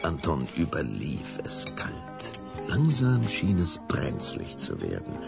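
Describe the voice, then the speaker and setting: Deep, gravelly, slow-paced male storyteller. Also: wildly swooping pitch, over music. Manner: dramatic storytelling with suspenseful pauses. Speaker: narrator. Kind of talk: scripted audio drama narration for children.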